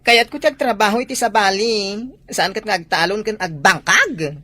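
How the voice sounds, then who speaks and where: A woman's voice: medium pitch, moderate pace, sharp tone. A woman acting a downhearted, effeminate young farmer's son with a plaintive manner in a comedy drama. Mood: plaintive, hurt.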